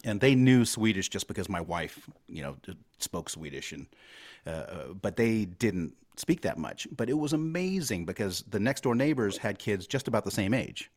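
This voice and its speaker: Middle-aged male host, low voice, friendly teasing interviewer who laughs a lot. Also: very animated, normal speed. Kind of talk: casual interview chat.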